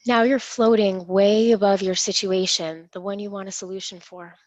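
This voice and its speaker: A woman leading a guided imagery meditation in a soothing, unhurried, reassuring manner. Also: mid-range voice, fairly even intonation, no room sound, highs cut off. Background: gentle instrumental music.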